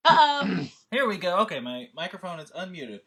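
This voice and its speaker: Bright-voiced man hosting casually. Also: high-pitched, big pitch swings, normal speed. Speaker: host. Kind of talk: casual livestream introduction.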